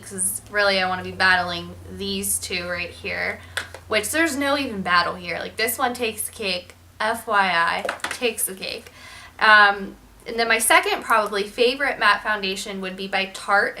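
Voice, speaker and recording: A woman's voice: mid-range voice, bright, forceful tone, slight background noise. A young woman chatting casually as a beauty vlogger, candid and full of ums and likes, sounding slightly nasal from a sinus infection. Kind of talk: casual product review chat.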